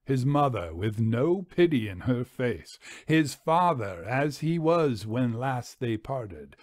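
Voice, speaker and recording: Guy doing a haunted old-time gentleman telling a dark tale, grave, really slow, dry studio-like sound. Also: medium pitch, sing-song, dry recording. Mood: grave, intense. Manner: grave, deliberate, literary storytelling. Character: haunted first-person male narrator of a Victorian mesmeric tale. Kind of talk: dramatic story reading.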